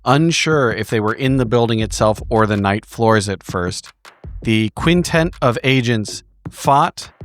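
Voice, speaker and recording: A man giving a dramatic, improvised story recap as a tabletop roleplaying player, with wry, deliberate phrasing and pauses. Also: fairly low pitch.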